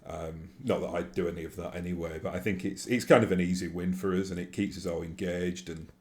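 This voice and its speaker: Yorkshire man, very deep voice, rambling casual monologue, lots of ums. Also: fairly even intonation, fairly quick. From Yorkshire, England. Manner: rambling, with many fillers and restarts. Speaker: YouTube host.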